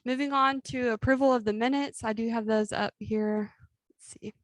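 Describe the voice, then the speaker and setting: A young woman chairing a student government meeting, running through the agenda in a brisk, lightly amused way. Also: fairly high pitch, fairly even intonation, no room sound. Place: meeting with a Zoom link.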